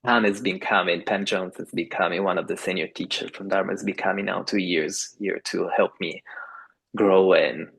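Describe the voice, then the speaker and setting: A man's voice: low voice, steady tone, moderate pace, vocal fry, a bit bright, husky, rough recording. A man in his thirties from Italy with an Italian accent, talking enthusiastically as a yoga teacher guest on a video call. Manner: enthusiastic, acts out other people's voices. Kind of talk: casual interview conversation. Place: home in a French village at night.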